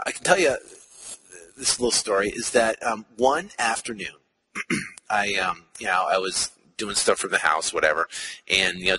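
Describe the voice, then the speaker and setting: A man's voice: mid-range voice, sharp tone, slightly rough, low-quality recording. A man in his forties talking casually as a podcast host from his car, rambling with many fillers and restarts. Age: 40s. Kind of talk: casual monologue.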